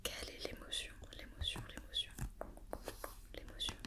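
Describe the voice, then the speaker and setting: A woman whispering an ASMR roleplay as a doctor, giving soft, repetitive, reassuring instructions. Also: normal speed.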